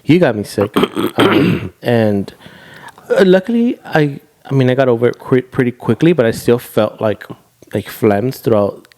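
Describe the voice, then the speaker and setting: A man's voice: mid-range voice, animated, darkish tone. Male podcast co-host, casual and hesitant, lots of restarts, easy laughter. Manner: halting, many fillers and restarts, laughs.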